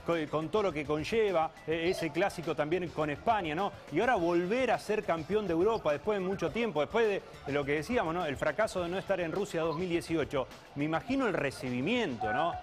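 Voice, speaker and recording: A man's voice: on the high side, lively intonation, brisk, hardly any pauses, soft background music. A male news anchor asking long, rambling questions full of tag questions on live TV.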